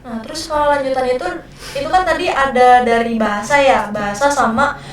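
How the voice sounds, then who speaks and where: Young woman guest, higher voice, loose chatty explainer, far from the mic in a very echoey room. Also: fairly quick. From Indonesia. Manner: explains with many fillers and restarts. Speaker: podcast guest. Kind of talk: casual chat in a group.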